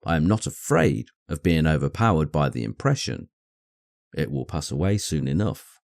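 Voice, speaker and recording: A man voicing a dry, sardonic middle-aged English gentleman and father in an audiobook, with a wry, deadpan manner. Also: a bass voice, normal speed, creaky, dry recording, exaggerated voice. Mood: rueful. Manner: deadpan, wry, mock-stern. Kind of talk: acted dialogue in audiobook reading.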